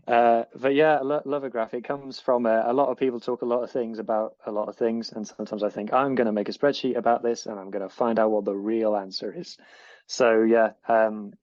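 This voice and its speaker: A man's voice: medium pitch, flat delivery, fairly quick, darkish tone, dry recording, muffled recording. A man with a Northwest English accent, co-presenting a podcast in an eager, rambling way. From northwest England. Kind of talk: casual podcast chat.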